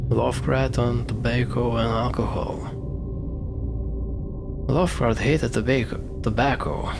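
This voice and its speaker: A man who streams games, reading on-screen text aloud in a halting way and commenting on it. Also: fairly low pitch, gravelly. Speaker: game streamer.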